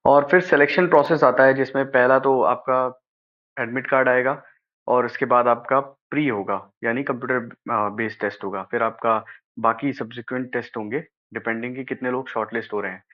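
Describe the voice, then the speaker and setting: A man's voice: medium pitch, fairly even intonation, fairly quick. A man with an Indian accent gives an informal, brotherly explainer as an advice presenter, often checking in with the listener. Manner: casual, brotherly advising, checking with "okay?". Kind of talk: informal explainer of a job notification. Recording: slightly dull, lo-fi sound.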